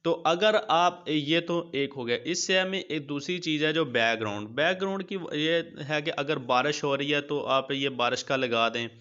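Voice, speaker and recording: A man's voice: fairly high pitch, moderate pace, few pauses, a bit bright, clear, resonant tone. A man hosting a casual, colloquial app tutorial, addressing viewers like buddies and repeating his points. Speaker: YouTube host of app tutorials. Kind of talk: casual tutorial narration with screen walkthrough. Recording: dull lo-fi sound.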